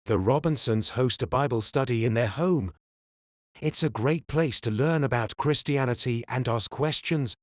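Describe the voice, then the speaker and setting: A man's voice: medium pitch, moderate pace, no room sound, studio quality, close to an everyday voice. A man playing a friendly, encouraging churchgoer in an audio drama. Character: friendly churchgoing man recommending a Bible study.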